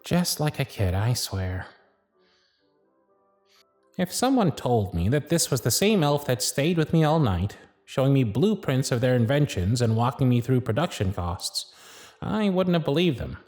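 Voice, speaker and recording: A man playing a bossy but fond elf workshop supervisor in an ASMR roleplay, brisk and teasing with soft asides. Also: mid-range voice, very animated, no echo, soft background music. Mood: fond, musing. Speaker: voice actor. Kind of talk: ASMR roleplay audio drama.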